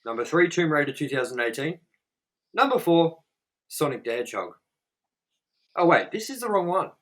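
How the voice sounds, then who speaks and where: A man's voice: on the high side, very animated, normal speed, a bit bright. A man hosting a casual movie review show, jokey and loose, swearing freely. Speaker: show host.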